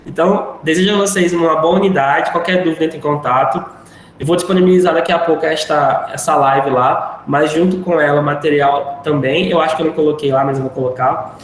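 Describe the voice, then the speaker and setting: A man's voice: on the high side, fairly even intonation, a little fast. A male teacher wrapping up a live online class in a chatty, rambling way. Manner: rambling, chatty, self-deprecating. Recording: very echoey room, faint noise.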